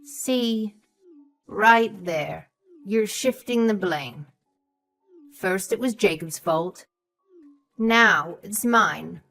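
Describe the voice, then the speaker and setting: A woman's voice: medium pitch, very slow, vocal fry, pauses often, soft background music, exaggerated voice. A woman playing a haughty, suspicious elderly mother-in-law, scornful and condescending, in a scripted audio story. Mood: accusing. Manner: condescending, sharp, calls others sweetheart and darling.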